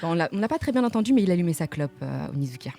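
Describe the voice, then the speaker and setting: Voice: mid-range voice, very animated, rapid-fire, creaky, dark tone. A radio columnist presenting manga in a casual chat, with playful jokes to the listeners. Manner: playful, jokey asides to the audience. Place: radio studio.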